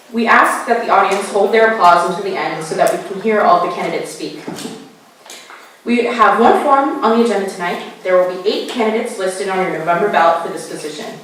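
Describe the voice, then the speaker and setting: A woman moderating a candidate forum, reading the ground rules carefully and slowly spelling out web addresses. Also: mid-range voice, expressive, fairly bright tone, slight background noise. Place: college meeting room. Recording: echoey hall, voice from far off.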